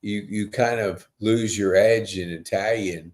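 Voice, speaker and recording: Slow-paced male podcast host, low voice. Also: fairly even intonation, darkish tone. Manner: effusive, rambling, stop-and-start questions. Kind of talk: casual conversational interview.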